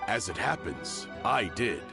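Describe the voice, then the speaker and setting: A man's voice: fairly low pitch, very animated, unhurried, gravelly, exaggerated voice. An actor playing a helpful, matter-of-fact townsman in an anime game. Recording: game music playing behind.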